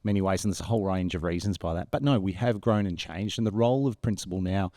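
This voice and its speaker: A man's voice: sits low, fast talker, darkish tone, dry recording, clean studio sound. A man in his forties with an Australian accent, chatting as a school principal being interviewed on a podcast. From rural New South Wales, Australia. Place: bright glass-walled room, indoors. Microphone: close podcast mic on stand.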